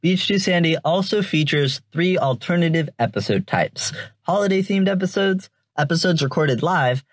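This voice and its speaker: A man's voice: a little high, animated, normal speed, no room sound. A man hosting a commentary podcast, explaining things informally in a semi-scripted way. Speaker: podcast host and reviewer.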